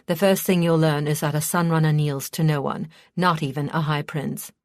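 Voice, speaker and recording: A woman's voice: low voice, fairly even intonation, moderate pace, a little mellow, dry recording, clean studio sound. Woman voicing an icy, imperious noblewoman. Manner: blunt, imperious. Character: stern, icy, authoritative lady of a keep.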